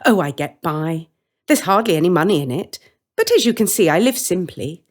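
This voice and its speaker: A woman reader acts out a storybook witch who is cheerful and menacing at once. Her pitch swoops widely as she talks in a dry, breezy, emphatic way, with polite menace under the chattiness. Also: lively intonation, normal speed.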